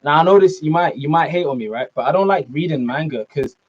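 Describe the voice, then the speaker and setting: A man's voice: fairly high pitch, expressive, fast talker. A young man with a British accent chatting casually on a live stream, answering viewer comments in a laid-back way and calling them man and bro. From Norway, raised in the UK. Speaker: fitness streamer. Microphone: laptop mic. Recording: slightly dull lo-fi sound.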